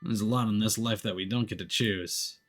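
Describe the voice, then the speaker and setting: A man voicing a tough, gruff, grumbling male ex-detective with a grudgingly kind streak. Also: fairly low pitch, fairly even intonation, fairly quick, voice pushed down, natural voice. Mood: bitter, resolute.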